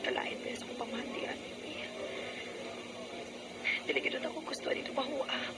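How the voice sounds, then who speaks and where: High-voiced, earnest young Cebuano mother. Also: steady tone, normal speed. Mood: weary, resigned. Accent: Cebuano Filipino. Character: struggling young single mother, weary but devoted. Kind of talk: Cebuano radio drama acting. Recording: very noisy radio broadcast recording.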